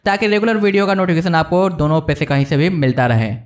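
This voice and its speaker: A man with an Indian accent hosts a tech review in a voice pitched a little high. He explains each feature informally, step by step, and addresses the viewers directly as he narrates. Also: lively intonation, fast talker, background noise. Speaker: tech reviewer and channel host. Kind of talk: casual screen-walkthrough narration of a phone software review.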